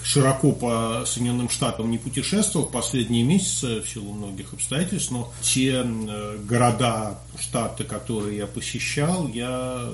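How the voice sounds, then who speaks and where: Man giving his take as a guest, trails off quiet a lot, roomy echo and a bit of hum. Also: mid-range voice, moderate pace, hardly any pauses. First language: Russian. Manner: thoughtful, trailing off quietly at phrase ends. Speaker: guest commentator. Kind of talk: conversational analysis. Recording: echoey room with faint hum.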